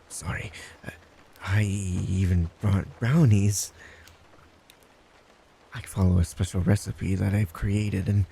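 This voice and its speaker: A man playing a creepy, blunt, unhinged stalker neighbor in a roleplay audio drama, sardonic and halting, prone to giggles and mutters. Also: lowish voice, vocal fry, warm tone, a little hiss or hum, heavily acted. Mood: unhinged muttering. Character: creepy, blunt, unhinged yandere male next-door stalker.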